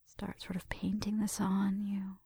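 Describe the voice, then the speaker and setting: Breathy, slow-whispering woman doing ASMR roleplay. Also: mid-range voice, completely flat delivery, no room sound. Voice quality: soft, breathy, often whispering. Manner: gentle, intimate, narrating each step softly. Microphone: close mic.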